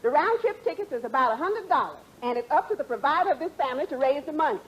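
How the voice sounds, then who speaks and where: An actress playing a bossy, exasperated wife in 1940s radio comedy, emphatic and folksy in ungrammatical dialect. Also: female voice, high-pitched, expressive, quick pace. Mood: firm, demanding. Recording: faintly noisy vintage radio recording.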